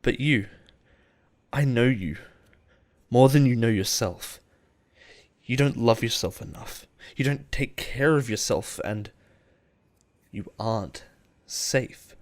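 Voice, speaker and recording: A man playing an obsessive, possessive boss in love with his assistant, in an intimate audio roleplay with halting, pause-filled delivery. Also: medium pitch, lightly acted. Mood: yearning, intense. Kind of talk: intimate dramatic roleplay monologue.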